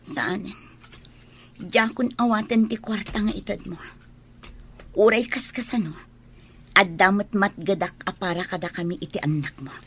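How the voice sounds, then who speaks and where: Anxious, bright-voiced troubled wife. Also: female voice, medium pitch, fairly even intonation, normal speed, pauses often, breathy edge. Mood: firm, reproachful. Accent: northern Philippine. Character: anxious, devout, principled wife of a criminal husband. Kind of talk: Ilocano radio drama acting.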